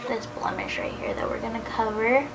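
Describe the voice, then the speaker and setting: Woman, 30s, beauty vlogger with a cold: gravelly, nasal, chatty, noisy home recording. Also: a little high, huge pitch swings, normal speed. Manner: casual and chatty, drifting into soft near-whispers. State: has a cold, a little nasal. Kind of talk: chatty makeup tutorial.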